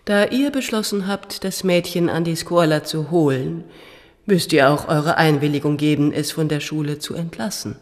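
An actress playing a cool, scheming medieval noblewoman in a radio drama, speaking in a measured, formal manner. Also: low voice, natural voice. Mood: veiled threat. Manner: measured, formal, veiled menace. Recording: reverberant stone-hall sound.

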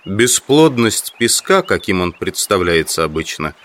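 A man narrating a literary audiobook in the first person, with measured, carefully phrased, expressive delivery. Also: deep voice.

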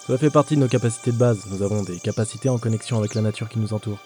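A man playing a reserved, helpful ranger in a fantasy audio drama, with a calm, instructive delivery. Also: lowish voice, very fast, faint music behind, close to an everyday voice. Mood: calm, explanatory. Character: male ranger player in a fantasy game world, gruff but helpful.

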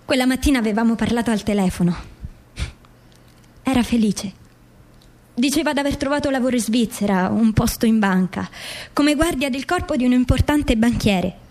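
An actress plays a bereaved mother in a radio drama, her voice on the high side. She testifies with indignant insistence, determined to get at the truth about her son. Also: normal speed, long pauses, a little mellow, lightly acted. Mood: sorrowful recollection. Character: grieving, resolute mother seeking truth about dead son.

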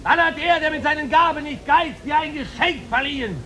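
A man's voice: very high-pitched, brisk, pushed and bright. A man playing a florid slave-market auctioneer in a 1950s radio drama, crying out ornate sales patter. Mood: urging, effusive. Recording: noisy 1950s radio recording.

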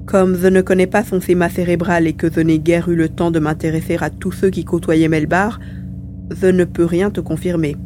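A woman's voice: fairly low pitch, little pitch movement, moderate pace, a little mellow, faint music behind, natural voice. A woman playing a small, cheerful male mouse-beaver mutant who chatters wordily in an audio serial. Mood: helpful, curious. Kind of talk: acted dialogue in an amateur audio serial. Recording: faint background hum and noise.

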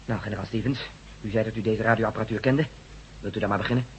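A man's voice: on the low side, steady tone, quick pace, natural voice. A man playing a hardened, distrustful resistance fighter, blunt and sarcastic, in a science-fiction radio drama. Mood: grim. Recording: dull old radio recording, faint noise.

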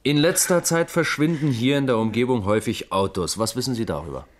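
An actor playing a calm, formal police lieutenant who questions persistently in a German radio crime drama. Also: male voice, mid-range voice, a little hiss or hum, close to an everyday voice. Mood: probing, insistent. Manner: measured, probing, formal questioning.

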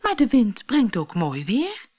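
A woman's voice: fairly high pitch, huge pitch swings, a little slow, a little mellow, muffled recording, voice pushed up, full character voice. A woman voicing a sensible, exasperated female hedge in a storybook, with an emphatic manner. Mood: sensible, coaxing. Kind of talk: fairy-tale storybook voice.

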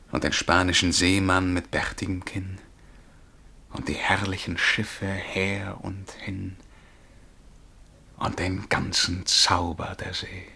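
An actor playing a dreamy, excitable young male bank clerk and would-be poet in a German radio play, fervent and absorbed as he describes things vividly. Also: deep voice, gravelly, exaggerated voice. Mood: rapt. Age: young adult.

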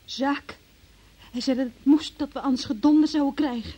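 Woman playing scared young suspect in a radio play, really high voice, weepy and pleading. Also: fairly even intonation, a little fast, warm tone, lightly acted. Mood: frightened, defensive. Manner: pleading, faltering, tearful. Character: frightened, tearful young woman under police questioning. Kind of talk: radio drama acting.

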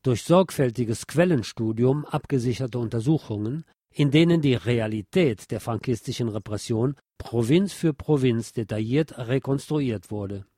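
A man reading a nonfiction text aloud as a podcast host, in a formal, measured, factual manner. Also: mid-range voice, dark tone, dry recording, studio quality.

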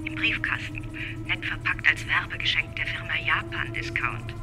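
A woman's voice: deep voice, moderate pace, over music, natural voice. An actress playing a woman dispatcher briefing an undercover surveillance team in a 1970s radio drama. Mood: brisk briefing.

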